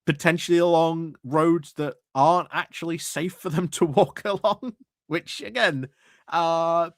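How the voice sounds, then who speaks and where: A man giving casual reaction commentary, speaking in a wry, halting way. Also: a little high, no room sound, studio quality. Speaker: streamer commentator.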